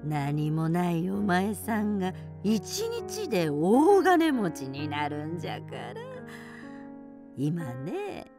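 A woman playing a bossy, scolding old grandmother field mouse in a radio drama, with drawn-out, folksy elderly speech. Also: on the low side, huge pitch swings, music in the background, exaggerated voice. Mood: pleased, coaxing.

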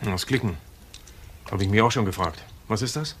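An actor playing a brisk, matter-of-fact police superior in a crime radio drama, used to giving orders. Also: male voice, fairly low pitch, lightly acted. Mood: pondering. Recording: clear car and street noise behind.

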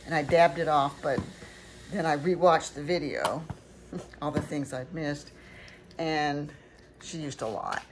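An older woman with a low voice talks through a hands-on craft tutorial in a casual, rambling way, often correcting herself. She is recorded in a home kitchen, with clear noise behind her. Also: takes it slowly, long pauses. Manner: rambling, chatty, self-correcting. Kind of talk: casual craft demonstration, talking through steps.

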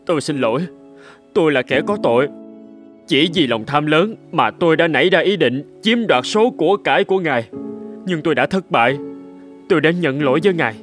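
A man's voice: a little high, animated, fairly quick, soft background music, lightly acted. A man voicing a greedy cartoon schemer who pleads like an ashamed sinner. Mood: ashamed, pleading. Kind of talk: dubbed cartoon morality-tale dialogue.

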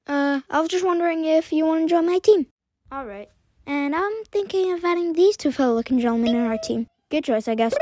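Woman doing a high-pitched snappy cartoon object. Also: a little fast, dark tone, dry recording, close to an everyday voice. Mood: awkward. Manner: blunt, sweary. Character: foul-mouthed, snappy talking cartoon objects. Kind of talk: amateur comic cartoon voice-over.

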